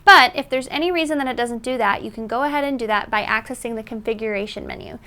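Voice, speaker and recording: A woman's voice: on the high side, fast talker, bright, forceful tone. A woman presenting a product tutorial in an instructional, step-by-step manner. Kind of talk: tutorial narration.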